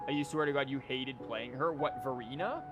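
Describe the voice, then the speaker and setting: A man's voice: mid-range voice, a little fast. Rambling man streaming over game music. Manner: rambling, conversational. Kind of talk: casual livestream chat and game commentary.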